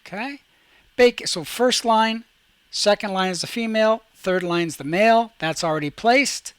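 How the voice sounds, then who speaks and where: A man teaching a step-by-step software tutorial, giving instructions and often checking that the listener follows. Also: high voice, normal speed, clear, resonant tone, dry recording. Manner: instructional, often checking with "okay" and "you see that?".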